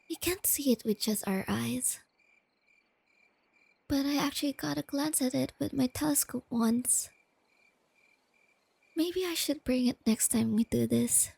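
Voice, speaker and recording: A woman voicing a shy, nerdy young girlfriend in a soft-spoken ASMR roleplay, hesitant and tender, with a soft, breathy, often whispery delivery. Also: high-pitched, dry recording, full character voice. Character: shy, nerdy, self-doubting young girlfriend, gentle and affectionate.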